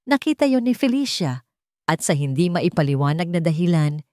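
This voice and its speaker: A woman narrating a scripted love story in a sentimental, dramatic manner with deliberate phrasing. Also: on the low side, big pitch swings, a little mellow, no echo, studio quality.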